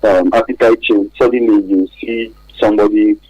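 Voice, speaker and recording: A man with a Nigerian accent explaining things as an interview guest on radio, speaking haltingly and deliberately, often pausing to find words. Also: on the low side, steady tone, a little mellow, slightly rough, dry recording, highs cut off.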